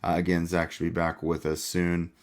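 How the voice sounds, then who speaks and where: A man hosting a casual sports podcast, hoarse and losing his voice, with a laid-back delivery full of "uh" fillers. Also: low voice, monotone, moderate pace. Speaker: podcast host.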